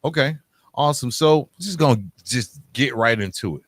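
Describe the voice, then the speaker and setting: A man's voice: mid-range voice, expressive, normal speed, breathy edge, no room sound. A man hosting an interview podcast, speaking in an informal and encouraging manner. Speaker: podcast host.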